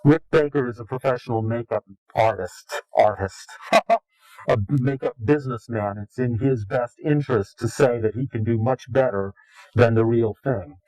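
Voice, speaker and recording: A man answering interview questions combatively, in a formal, deliberate and contemptuous way. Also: mid-range voice, warm tone, no echo, poor audio. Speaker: interviewed anonymous informant.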